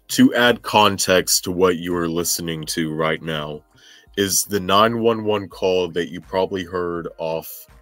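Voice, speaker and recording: A man with a very low voice talks at an unhurried pace over faint music. He hosts a casual true crime podcast, halting and thinking aloud as he goes. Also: a bit bright. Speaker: podcast host.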